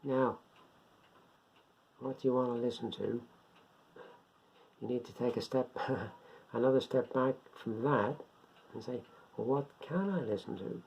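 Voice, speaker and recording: Guy doing a rambly beginner explainer, raspy husky voice on the low side, kinda muffled lo-fi home recording. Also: a little slow, pauses often, a little mellow. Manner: rambling, step-by-step, repeats himself. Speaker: hobbyist presenter of a beginners' guide. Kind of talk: informal unscripted explainer.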